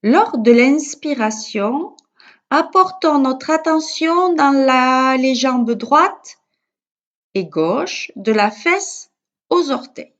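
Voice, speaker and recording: A woman with a fairly high voice leads a guided yoga nidra very slowly. Her delivery is calm and measured, with soothing pauses between instructions. The sound is a little dull and lo-fi. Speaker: yoga teacher.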